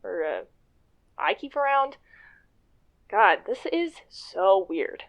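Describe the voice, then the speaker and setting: A woman acting in a radio play as a self-conscious businesswoman, speaking in a halting, wry, self-interrupting way. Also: medium pitch, big pitch swings. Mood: awkward, wry. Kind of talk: radio drama acting, halting confessional monologue.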